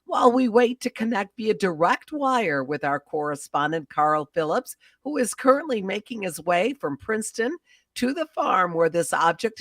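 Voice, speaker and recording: A woman's voice: mid-range voice, dry recording, studio quality, far from the mic. An actress playing a composed female radio news announcer, delivering bulletins formally in an old-time radio drama.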